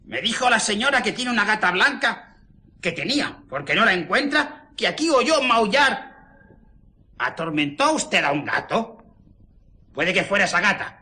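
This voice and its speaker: An actor playing a fussy, suspicious old Polish landlord in a radio drama, insistent and accusing. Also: male voice, sits high, very animated, normal speed, bright, forceful tone, exaggerated voice. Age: older.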